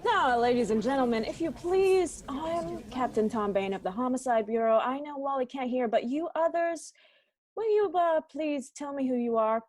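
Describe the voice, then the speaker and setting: A woman as a brisk, higher-voiced police captain. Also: expressive, darkish tone. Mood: businesslike. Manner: terse, businesslike, clipped questioning. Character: brisk, grumbling male police homicide captain. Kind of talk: radio detective drama acting.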